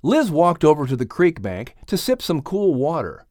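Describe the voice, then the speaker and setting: An American man narrating and announcing scripted children's stories in a theatrical, expressive way. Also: on the high side, moderate pace, no echo, clean studio sound. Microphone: close studio microphone.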